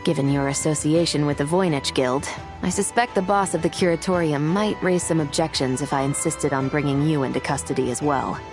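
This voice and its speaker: An actress playing a composed female police officer in a game, speaking in a formal, firm and measured way. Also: sits low. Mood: stern. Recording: game dialogue over loud music.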